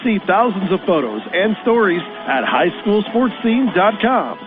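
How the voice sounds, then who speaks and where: A man's voice: high voice, very animated, unhurried. A man reading an upbeat radio ad, peppy and promotional. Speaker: radio ad announcer. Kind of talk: upbeat ad read. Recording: phone-like radio ad over music.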